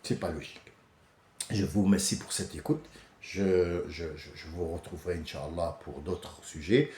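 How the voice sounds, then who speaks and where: A French man hosting a casual monologue, animated and often restarting, rising to heated outbursts. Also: sits low, normal speed, slight background noise. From France. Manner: many restarts and hesitations. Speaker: host. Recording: echoey room.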